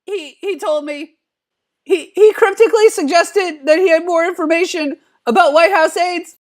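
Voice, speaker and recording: Timid adolescent boy, cartoon comedy, very high squeaky pitch, nervous stutter, narrating, flustered. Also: moderate pace. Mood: hesitant. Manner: anxious stuttering, repeated word starts. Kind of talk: comedic dramatic reading of reported narration.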